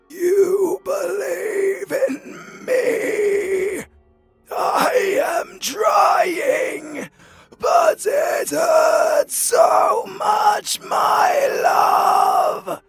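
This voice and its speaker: Anguished high-pitched British zombie husband, crying. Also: male voice, creaky, exaggerated voice. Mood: anguished pleading. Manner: anguished, melodramatic pleading in broken shouts. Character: tormented undead zombie husband, loving but starving. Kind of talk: horror audio roleplay acting.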